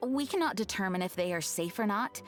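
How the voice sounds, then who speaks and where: A woman voicing a dutiful, courteous female knight commander in an anime game, speaking formally and in measured phrases. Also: medium pitch, lively intonation, close to an everyday voice. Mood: firm, regretful. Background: game music.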